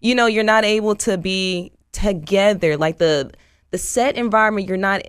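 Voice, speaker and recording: A woman chatting as an interview guest in an animated, colloquial way, acting out what people say. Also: medium pitch, moderate pace, clear, resonant tone, no room sound, studio quality. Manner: animated, colloquial, acts out quotes. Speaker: guest, actress. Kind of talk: casual interview chat.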